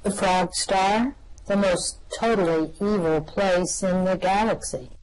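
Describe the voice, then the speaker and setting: A woman's voice: mid-range voice, measured pace, darkish tone, natural voice, poor audio. A woman voicing an anxious, grim male alien fugitive who gives tense, ominous warnings, in an amateur radio play reading.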